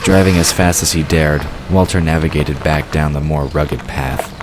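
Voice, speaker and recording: A man's voice: a bass voice, moderate pace. A man narrating a fantasy audio drama from a script. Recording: clearly noisy background ambience. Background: outdoor ambience sound effects.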